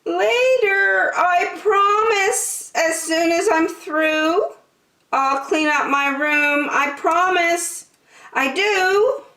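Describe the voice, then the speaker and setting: A woman voicing an excitable, playful little girl in a storybook, exuberant and sing-song. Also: extremely high pitch, drawn-out words, voice pushed up, full character voice. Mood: pleading. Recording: roomy, echoing sound.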